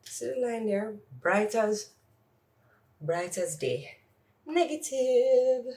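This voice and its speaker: A woman's voice: a little high, lively intonation, slow pace, darkish tone, a little hiss or hum. A woman chatting casually in a home closet vlog while doing her makeup, sniffly and congested, rambling with joking asides. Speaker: vlogger. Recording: voice from far off the mic.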